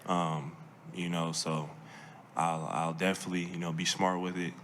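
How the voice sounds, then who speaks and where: A young man from Seattle answering reporters' questions at a press conference, measured, with many fillers and restarts. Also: sits low, level tone, fairly bright tone, a little hiss or hum. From Seattle, Washington. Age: young adult. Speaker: draft pick at press conference.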